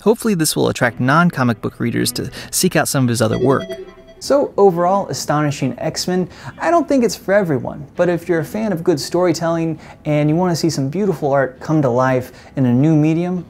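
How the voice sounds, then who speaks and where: A man's voice: a little high, expressive, fairly quick, vocal fry, a little mellow. Man reviewing entertainment, music behind. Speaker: video reviewer and host. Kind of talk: entertainment review, part to camera, part scripted narration. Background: background music.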